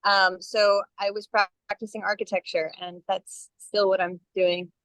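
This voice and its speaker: A woman architect speaking in a thoughtful, measured way on a video call. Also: mid-range voice, little pitch movement, a bit bright, dry recording, low-quality recording. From Arlington. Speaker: architect, fellowship member.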